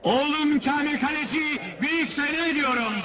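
An actor playing a wily, bossy fairground boss in a comedy film, with pompous huckster patter. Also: male voice, very high voice, normal speed, very noisy. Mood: showy, excited. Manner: loud huckster patter, pompous mock-formal announcements. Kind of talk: comic film dialogue and fairground barking.

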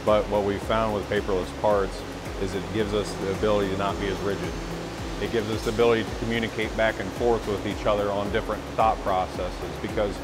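A man from operations explaining the shop's quoting software in a casual interview, with restarts and fillers. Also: on the low side, poor audio. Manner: conversational, hesitant restarts. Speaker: operations leader. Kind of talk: shop tour interview. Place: machine shop floor. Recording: loud music bed.

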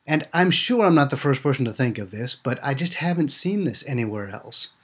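A man narrating a slide presentation in a measured, conversational, explanatory teaching manner. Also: medium pitch, lively intonation, slightly rough. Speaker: ministry presenter and seminary student.

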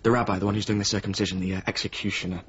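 An actor playing a weary, resentful former apprentice of a painter in a naturalistic radio drama, with a husky voice. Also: male voice, deep voice, flat delivery, rapid-fire, fairly bright tone, a little hiss or hum. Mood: grave, hushed. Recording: slightly muffled radio drama recording.

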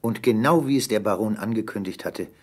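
A man with a husky voice narrating a scripted German radio play. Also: lowish voice, fairly quick, a little mellow.

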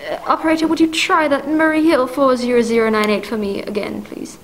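A woman's voice: sits high, fairly quick, natural voice. A young woman acting a nervous, demanding bedridden wife who stammers fretfully, in a 1940s-style radio thriller play. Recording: noticeable background noise.

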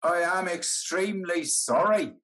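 An older actor playing a humble, quietly skeptical petitioner in a period stage comedy, formal and a little hesitant. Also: male voice, sits high, expressive, unhurried. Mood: skeptical, polite. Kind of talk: theatrical period comedy acting. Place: dim room at home on a video call.

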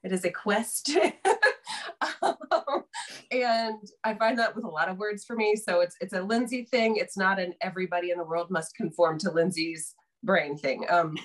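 A woman's voice: medium pitch, normal speed. Woman in her thirties, guest, playful and wry, talkative, video call from home. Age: 30s. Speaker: podcast guest. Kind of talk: casual group video call chat.

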